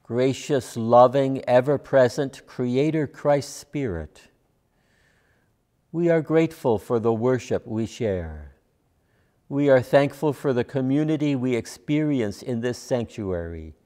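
An older man, a minister, leads a pastoral prayer from a church pulpit in measured, solemn phrases. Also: medium pitch, lively intonation, mellow. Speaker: minister leading worship.

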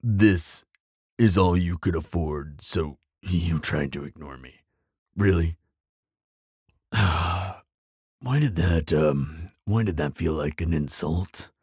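A man voicing a proud, grumpy but soft-hearted bogeyman in an audio roleplay, with a gravelly rasp and a pompous, easily flustered delivery. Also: low-pitched, slow, pauses often, dry recording, clean studio sound, exaggerated voice. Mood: flustered, indignant. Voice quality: raspy, gravelly. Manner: pompous, flustered stammering, dramatic.

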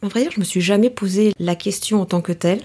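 A middle-aged woman, a coach, answering interview questions in a calm, measured way with frequent hesitations. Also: medium pitch, slightly rough. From France. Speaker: coach, guest. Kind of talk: podcast interview.

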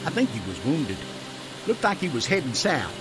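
A nervous railroad worker from a western game. His fairly high voice swings wildly up and down with agitation. Loud music plays behind him. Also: huge pitch swings, moderate pace, poor audio. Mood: agitated. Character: nervous working railroad man, male, anxious and pleading. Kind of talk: dramatic video game acting.

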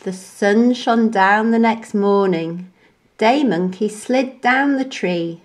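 A woman reading a children's bedtime story aloud in an expressive, deliberate storyteller's manner. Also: medium pitch. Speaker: storybook reader.

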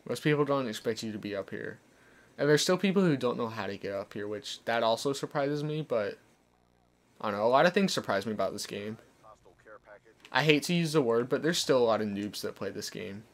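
A man's voice: expressive, fairly quick. A young man giving casual, chatty commentary over his gameplay, explaining tips as he plays. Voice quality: deeper voice. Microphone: desk condenser mic. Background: soft background music.

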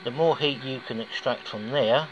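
A man's voice: mid-range voice, normal speed. British man, casual hobbyist vlogger, chatty and rambling; phone-recorded, muffled, noisy. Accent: British English. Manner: chatty and rambling, with folksy asides. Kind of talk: casual DIY demonstration. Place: indoors, garage or workshop floor. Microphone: handheld phone filming. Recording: dull lo-fi phone audio with clear background noise.

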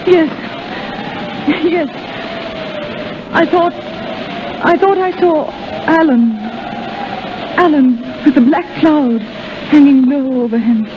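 A woman's voice: sits high, slow, long pauses. A woman playing a frightened, fragile young woman in a 1930s radio drama, hesitant and dramatic. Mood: frightened, dazed. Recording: muffled, noisy 1930s radio recording.

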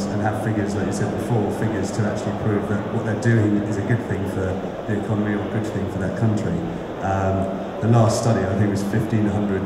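A man with a low voice talks casually on a conference panel and repeats words as he goes. He keeps an even, flat delivery. The hall is echoing, with loud music behind him. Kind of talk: panel discussion. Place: large conference hall stage.

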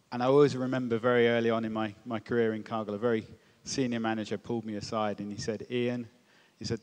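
Male panelist, lowish voice, measured storyteller, echoing hall. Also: darkish tone. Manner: measured, anecdotal. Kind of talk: conference panel discussion. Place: large hall stage. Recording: long hall reverb.

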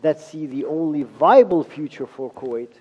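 A middle-aged man giving a formal university lecture from a podium, speaking in measured phrases with pauses. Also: a little high, crowd noise. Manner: measured, pausing for interpretation. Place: large lecture hall. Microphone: podium mic. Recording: lo-fi hall recording with long reverb.

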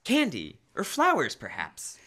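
A young man plays a put-upon husband from an old radio comedy. His bright, forceful voice swings widely up and down, staying polite through his exasperation. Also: sits high, wildly swooping pitch, a little slow, heavily acted. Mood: wry. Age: young adult. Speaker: high school student actor. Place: school library room, live staged radio show. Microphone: shared stand microphone.

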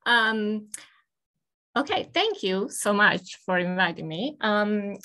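An older woman from Italy recounting her path into science on a video call, thoughtful and measured, with frequent hesitant pauses. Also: medium pitch, animated, pushed and bright. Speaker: guest speaker. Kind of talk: personal history talk on a video call. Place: home office with bookshelves, indoors. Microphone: video call webcam.

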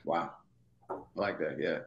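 Actor, male, thirties, American. Lowish voice, informal, searching delivery, recorded in a reverberant room. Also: a little slow, gravelly, dull audio. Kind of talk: casual conversation. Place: indoors, on a video call. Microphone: video call. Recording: echoey room on video call.

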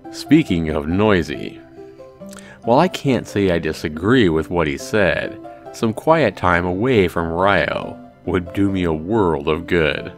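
Man reading as schoolboy protagonist, low pitch, wry, exasperated, visual novel. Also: lively intonation, slow, faint music behind, exaggerated voice.

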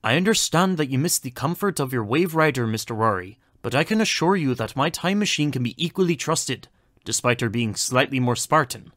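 A man playing a courteous, earnest 1920s gentleman scientist in an audio drama, speaking politely, formally and precisely. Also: mid-range voice, expressive, brisk, no echo, clean studio sound, natural voice. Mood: polite, assured.

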